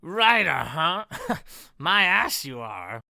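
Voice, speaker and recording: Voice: medium pitch, very animated, extremely slow, creaky, sharp tone, no echo, clean studio sound, exaggerated voice. A performer voicing a sneering, pudgy, vain bully with a gun in an amateur script reading, mocking and drawing out the taunts. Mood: smug, taunting. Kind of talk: amateur script read-through.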